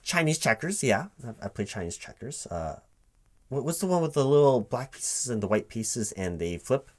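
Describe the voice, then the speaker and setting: A man speaking aloud in a one-sided job interview ASMR roleplay as a recruiting manager, halting and puzzled, often repeating things to check them. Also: mid-range voice, very animated. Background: computer keyboard typing.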